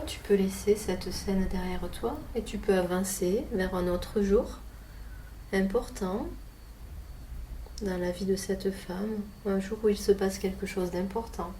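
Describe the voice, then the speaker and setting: Hypnotherapist, woman: gentle, patient guide with a mellow voice. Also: mid-range voice, a little hiss or hum. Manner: gentle, patient questioning, echoes the client's answers. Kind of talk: guiding a hypnosis session with questions.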